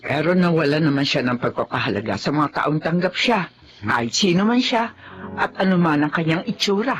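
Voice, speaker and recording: A woman's voice: low voice, expressive, brisk, muffled recording, faint music behind. An actress playing a forgiving, weary elderly mother and grandmother with a Filipino accent in a TV drama. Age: older.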